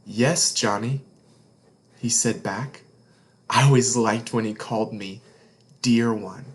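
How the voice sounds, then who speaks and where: A man reading a picture book aloud to children as a read-along host, with deliberate, emphatic phrasing. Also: fairly low pitch, fairly even intonation. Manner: deliberate phrasing, emphatic, explains words to young listeners.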